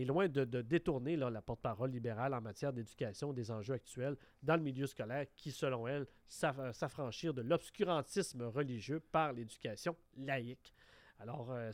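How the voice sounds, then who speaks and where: Homme québécois à la voix assez haute et sèche. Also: male voice, a little high, no echo. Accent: Québécois French. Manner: reads news items then comments, with many fillers and restarts. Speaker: radio talk show host. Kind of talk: talk radio commentary.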